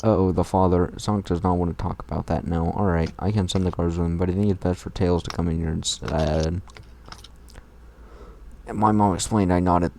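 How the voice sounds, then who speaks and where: A man's voice: low-pitched, fairly even intonation, brisk, mellow, slight background noise. A man hosting a mocking fan fiction read-aloud, with casual, irreverent, profane asides.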